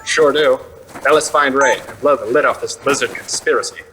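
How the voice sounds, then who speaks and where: An actor playing a brash, wisecracking celebrity-news reporter in a glib, cocky manner for a comedic audio drama. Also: male voice, mid-range voice, brisk, long reverb tail, close to an everyday voice. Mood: wry, plotting. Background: music.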